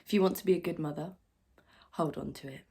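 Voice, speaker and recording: A woman's voice: low-pitched, fast talker, mellow, breathy edge. A woman playing an anxious, self-reproaching new mother in a confessional dramatic monologue, in clipped phrases of swinging intensity. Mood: self-reproachful.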